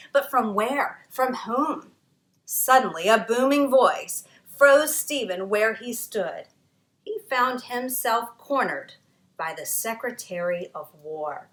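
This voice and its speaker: Expressive woman reading a story aloud. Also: a little high, reverberant. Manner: dramatic, varied storytelling delivery with emphatic pauses. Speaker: author reading her book aloud.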